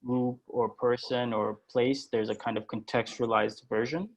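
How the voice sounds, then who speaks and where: A man's voice: medium pitch, fairly even intonation, measured pace, gravelly, low-quality recording. A man presenting and moderating an online workshop, speaking hesitantly with many fillers and restarts.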